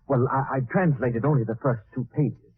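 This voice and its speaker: An actor playing an earnest, deferential alien translator and assistant in a 1940s radio drama. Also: male voice, medium pitch, moderate pace, husky, no echo. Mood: eager, deferential. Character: eager, respectful alien assistant and translator to a professor. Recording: tinny band-limited vintage radio recording.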